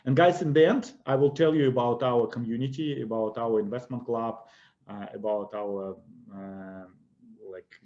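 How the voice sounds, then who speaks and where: A man's voice: on the low side, warm tone. Russian-accented man teaching stock investing. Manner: explanatory, repeats "I presume" and "okay". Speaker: investment course presenter. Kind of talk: webinar lecture over slides. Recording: slightly dull lo-fi webinar audio.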